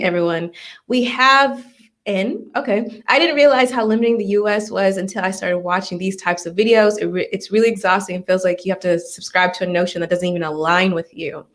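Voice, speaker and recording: A woman's voice: medium pitch, a bit bright. A woman casually moderating a live panel discussion as host.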